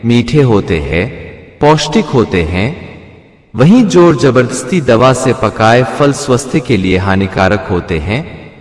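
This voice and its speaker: A man narrating a scripted moral bedtime story in an earnest, preachy manner. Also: medium pitch. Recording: echoey hall-like reverb.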